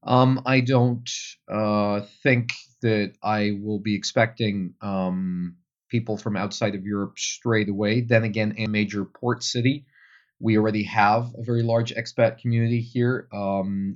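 Low-voiced Flemish man, dull lo-fi sound. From Belgium. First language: Dutch. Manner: thoughtful, many um fillers. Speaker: tour guide guest. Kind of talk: casual interview answers.

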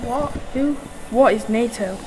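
A woman's voice: a little high, measured pace, noisy background, lightly acted. A female performer playing a scheming, boastful snake-haired villainess in an amateur comic radio play, with theatrical, persuasive delivery. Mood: startled. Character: scheming snake-haired Medusa, villainous drug cartel boss.

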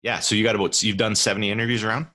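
A man in his forties, a guest on a podcast, chatting in an animated way and repeating words as he goes. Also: lowish voice, very fast, no room sound. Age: 40s. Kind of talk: casual interview chat.

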